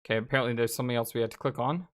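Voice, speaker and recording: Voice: medium pitch, steady tone, racing, slight background noise. A let's play host giving casual, chatty gameplay commentary and reading game text aloud, reacting as they go. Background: video game music.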